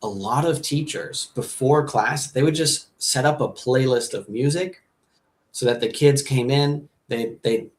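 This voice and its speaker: A man's voice: medium pitch, measured pace. Upbeat chatty American-born man host. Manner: upbeat, chatty, repeats words. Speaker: host, ESL teacher and music producer. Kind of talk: live webinar hosting.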